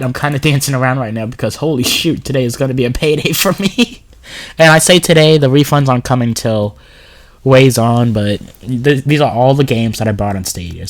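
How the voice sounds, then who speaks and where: A man with a sore throat and a runny nose giving casual gaming commentary over a screen recording, reading a blog post aloud and rambling with fillers and restarts. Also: medium pitch.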